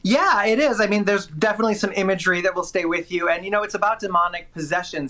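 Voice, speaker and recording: A man's voice: a little high, pushed and bright. A man working as a film critic, giving chatty, enthusiastic and opinionated movie reviews with emphatic delivery.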